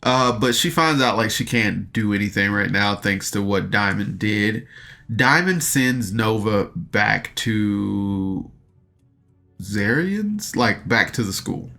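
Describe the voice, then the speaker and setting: Bright, forceful man giving a casual recap. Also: lowish voice, animated, takes it slowly, gravelly, faint music behind. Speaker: podcast review host. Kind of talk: casual recap and review of an audio drama.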